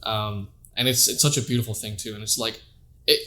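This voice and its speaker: A man's voice: lowish voice, brisk, sharp tone. A man hosting a casual podcast interview, talking in a laid-back, rambling way with many fillers. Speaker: podcast host.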